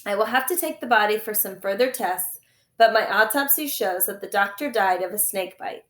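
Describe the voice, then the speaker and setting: A woman voicing a smug, evasive male doctor in a storybook, calm and breezily dismissive. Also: medium pitch, normal speed, reverberant, natural voice. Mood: matter-of-fact.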